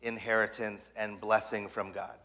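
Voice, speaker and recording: A man preaching a sermon in short phrases, pausing for an interpreter. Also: lowish voice, flat delivery, sharp tone. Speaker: guest preacher. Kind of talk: sermon in English with live interpretation. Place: church sanctuary.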